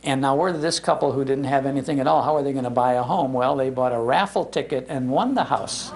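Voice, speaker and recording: An older American man giving an informal, anecdotal public talk in an animated, conversational manner. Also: mid-range voice, fast. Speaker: speaker on old-time radio history, broadcaster. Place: public library talk before an audience.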